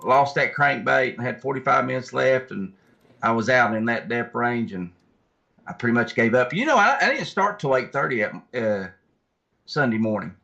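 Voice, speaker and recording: Gravelly, bright-voiced man on dull lo-fi audio. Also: mid-range voice, lively intonation, normal speed. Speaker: guest angler, tournament fisherman. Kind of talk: casual chat, recounting and explaining.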